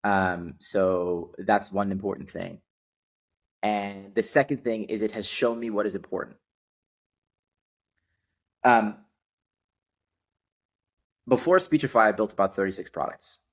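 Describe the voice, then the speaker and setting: A man in his twenties, a guest on a podcast, telling stories in an animated way with frequent ums. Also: fairly low pitch, measured pace, long pauses. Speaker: guest, startup founder. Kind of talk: casual podcast conversation.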